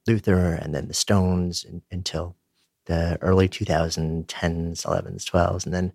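A man's voice: low-pitched, level tone, unhurried, gravelly, a little mellow, no echo, studio quality. A man hosting an interview, asking thoughtful, probing questions. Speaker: interview host. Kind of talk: interview conversation.

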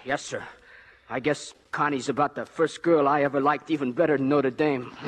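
Guileless mid-pitched teenage football star played by a man. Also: fairly even intonation, moderate pace, background noise, lightly acted. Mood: earnest, smitten. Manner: plain, boyish, unbothered. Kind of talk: 1940s-50s radio comedy acting. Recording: vintage radio broadcast.

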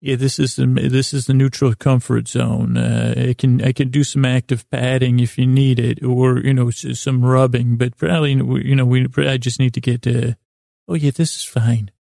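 Very creaky, mellow man rambling soothingly. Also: medium pitch, flat delivery, no room sound, clean studio sound. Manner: meandering, halting, soothing. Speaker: podcast host. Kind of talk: rambling whimsical bedtime monologue.

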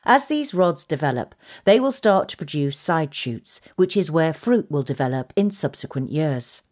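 A woman's voice: low voice, very animated, moderate pace, a little mellow. A woman reading a scripted gardening article as narration. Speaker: magazine article reader.

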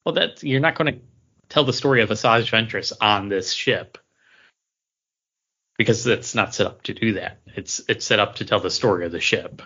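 Male podcast co-host, lowish bright voice, halting conversational delivery, slightly dull recording. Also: breathy edge. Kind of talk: casual chat about theme park attractions.

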